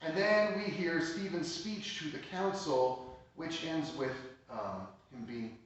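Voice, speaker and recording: A man pastor preaching a sermon, explaining scripture in a measured, plain teaching tone. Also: on the high side. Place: church sanctuary. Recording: echoey church hall, mic at a distance.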